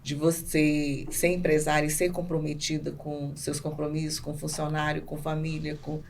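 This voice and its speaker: A middle-aged woman with a Brazilian Portuguese accent answers interview questions conversationally, pausing and restarting as she searches for words. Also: deep voice, little pitch movement, darkish tone. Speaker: podcast guest, business owner. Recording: clearly noisy background ambience.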